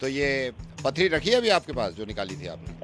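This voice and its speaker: A man's voice: mid-range voice, very animated, normal speed, over music, natural voice. A man playing a pestering, aggrieved husband with a rambling, mock-earnest manner on a prank phone call. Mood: insistent, aggrieved. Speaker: radio prank caller.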